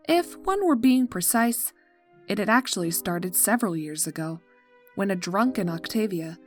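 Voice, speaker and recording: A woman narrating a scripted dark comedy story in a dry storytelling manner. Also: medium pitch. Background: music.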